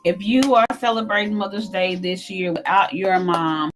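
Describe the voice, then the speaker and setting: A woman co-hosting a casual live-stream talk, gentle and encouraging with many fillers. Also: medium pitch, steady tone, normal speed, music in the background. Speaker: co-host.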